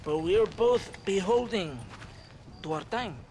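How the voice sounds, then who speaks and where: An actor voicing a sly, theatrical Mexican army captain with a Mexican Spanish accent, who swings between mocking laughter and hushed, mournful confiding. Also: male voice, high-pitched, animated, unhurried. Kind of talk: video game cutscene acting. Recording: noisy outdoor game soundscape.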